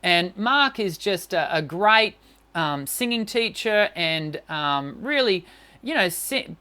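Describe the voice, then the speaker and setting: A woman's voice: mid-range voice, a bit bright. A woman voice teacher talking through a live-stream singing lesson, chatty, with many fillers and restarts. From Western country.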